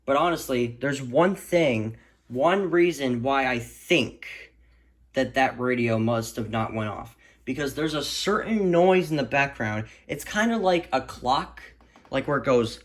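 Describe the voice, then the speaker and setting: A man's voice: medium pitch, lively intonation, unhurried. Rambling, stammering man vlogging. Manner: rambling, stammering, stretches words for emphasis. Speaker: hobbyist video creator showing a radio collection. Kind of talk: casual unscripted vlog rant.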